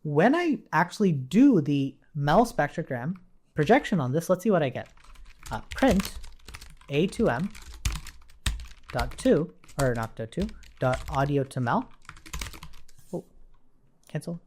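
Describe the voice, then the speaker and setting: A man's voice: on the high side, very animated, unhurried, pauses often, darkish tone. A man giving an informal, explanatory coding walkthrough as an instructor, thinking aloud as he goes. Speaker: programming tutorial instructor. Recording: faint background noise, keyboard typing clicks. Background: computer keyboard typing and clicks.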